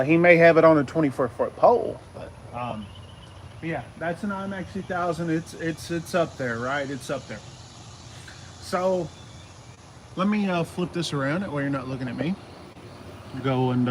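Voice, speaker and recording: A middle-aged man giving a casual, unscripted hobby explainer, halting and often repeating himself as he circles back to his points. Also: mid-range voice. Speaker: radio hobbyist video host. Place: outdoors in a yard. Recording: outdoor noise with insects and traffic.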